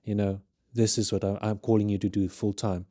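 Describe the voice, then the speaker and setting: A man's voice: lowish voice, level tone, dark tone, dry recording. A man in his thirties with a South African accent, raised in Zimbabwe, speaking earnestly as a podcast guest in a studio. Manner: earnest, measured, with frequent restarts. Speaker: guest, ministry leader. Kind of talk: podcast interview.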